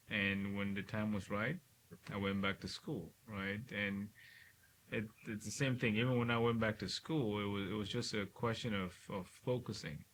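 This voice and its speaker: A middle-aged man from Cameroon, a neurosurgeon talking reflectively in an interview, often ending points with a quick "right?". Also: on the low side, a bit bright. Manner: reflective, frequent "right?" tag questions. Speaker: neurosurgeon guest. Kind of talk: relaxed interview conversation. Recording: faint hiss.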